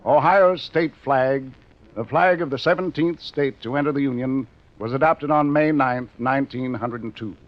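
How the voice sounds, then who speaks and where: A man's voice: mid-range voice, normal speed. An American man reading formal, scripted educational narration as a mid-century radio announcer. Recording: muffled vintage radio recording.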